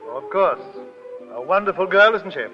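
An actor playing a suave, courtly, very wealthy suitor in a 1930s radio drama, with polished, formal, gallant speech. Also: male voice, high voice, lively intonation, normal speed, music in the background. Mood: gracious, rueful. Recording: vintage 1930s radio, dull, hall reverb.

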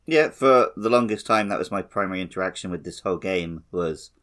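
Male co-host, slightly low voice, casual conversational explainer for a gaming podcast. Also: brisk, fairly bright tone. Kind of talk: casual video game discussion.

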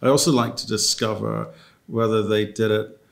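A man hosts an interview in a gravelly voice with lots of fry. He stammers into his questions and makes light of his own slips. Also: fairly low pitch, fairly even intonation, moderate pace. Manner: self-deprecating, stammers when starting a question. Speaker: interviewer, host.

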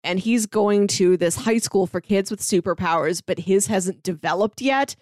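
A woman's voice: medium pitch, normal speed, a little mellow, dry recording, clean studio sound. A woman chatting casually and enthusiastically as a podcast panelist, often dropping "like" into her sentences. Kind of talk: casual review chat.

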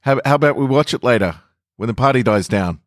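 A man's voice: mid-range voice, expressive, fast talker, no echo, clean studio sound, voice pushed up, close to an everyday voice. A man reading a first-person story as a shy, lovestruck young man, awkward and awestruck. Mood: flustered.

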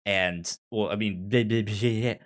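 A man's voice: on the low side, fairly even intonation, slow pace. A young man co-hosting a casual movie discussion, stammering and often repeating sounds and words as he talks. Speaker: podcast co-host.

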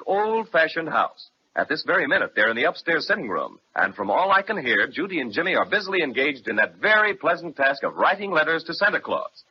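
A man playing a genial storyteller, narrating a children's radio serial in measured storybook phrasing. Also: on the high side. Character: genial male storyteller introducing a children's Christmas adventure. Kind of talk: old-time radio scripted narration. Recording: dull, faintly noisy old radio recording.

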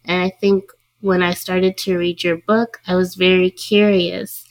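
A woman's voice: lowish voice, level tone, unhurried, dry recording. A woman interviewer speaking conversationally in an academic discussion, putting thoughts and questions to a guest.

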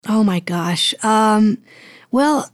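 A woman's voice: mid-range voice, animated, very slow, no room sound, clean studio sound. A woman speaking casually as an interview guest, thinking aloud in a hesitant, rambling way. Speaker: guest, audio drama producer and voice actor.

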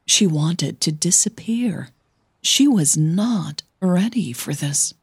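A woman's voice: on the low side, lively intonation, slow, darkish tone, husky, no room sound, studio quality. A woman narrating a scripted audio drama as its storyteller and podcast host.

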